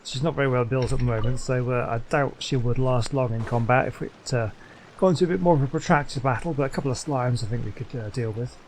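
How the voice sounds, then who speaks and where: A man with a mid-pitched voice hosts a gaming video. He chats casually and thinks out loud in a low-key way, with faint game ambience behind him. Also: quick pace, a little hiss or hum, rough recording. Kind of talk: casual gameplay commentary.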